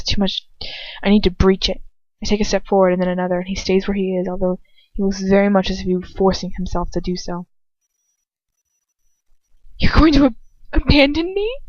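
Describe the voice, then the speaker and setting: A woman's voice: mid-range voice, darkish tone, no room sound, dull audio. A woman reading a first-person story dramatically, stumbling now and then and rereading phrases. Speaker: amateur fan fiction reader.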